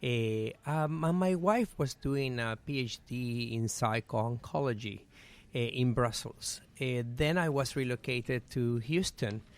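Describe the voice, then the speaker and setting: A middle-aged man with a Venezuelan Spanish accent answers questions in an earnest, thoughtful way. His voice sounds smooth and resonant. He often fills pauses with "eh" and "um.". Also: medium pitch. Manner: earnest, with frequent "eh" and "um" fillers. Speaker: guest. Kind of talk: conversational interview answers.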